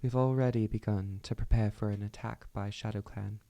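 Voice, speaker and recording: A stern cat queen who leads her clan, acted out by a man, speaks in firm, formal declarations from a storybook. The British accent is amateurish, and the voice is low with a heavy creak. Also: steady tone, normal speed, warm tone, highs cut off, natural voice. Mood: resolute. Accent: amateur put-on British. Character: stern, authoritative female cat clan leader, protective.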